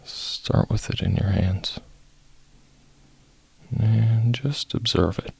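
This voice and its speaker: A man leading a guided meditation, soft-spoken and sometimes breathy, in short phrases with long pauses. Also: on the low side.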